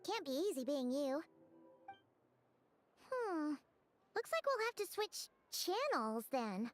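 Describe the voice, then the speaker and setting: A woman's voice: sits high, big pitch swings, slow pace, full character voice. A woman voicing a small, perky fairy companion girl who talks about herself in the third person and schemes cheerfully. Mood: scheming. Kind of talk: video game voice acting. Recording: faint game music behind.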